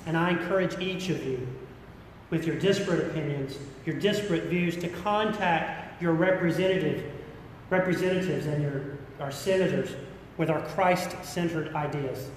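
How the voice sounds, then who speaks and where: A middle-aged American man delivers a sermon in an earnest, deliberate way, leaning on repeated phrases. His voice is on the high side for a man. It rings through a very echoey church hall with some low rumbling noise behind it. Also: darkish tone. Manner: earnest, deliberate, emphatic repetition. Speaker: pastor. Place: large church interior.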